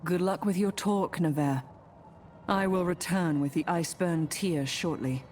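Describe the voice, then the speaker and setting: A woman's voice: lowish voice, a little slow, darkish tone, soft background music, natural voice. A woman voicing a determined, grim necromancer adventurer in a fantasy game, speaking formally and with earnest restraint. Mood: calm. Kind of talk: fantasy video game acting.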